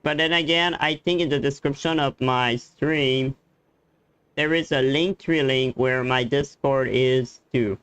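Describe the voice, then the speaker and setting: A man live-streams a game in a smooth, clear voice. He rambles casually and hesitantly, repeating words, close and dry on the microphone. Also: medium pitch, measured pace, a bit bright, dry recording.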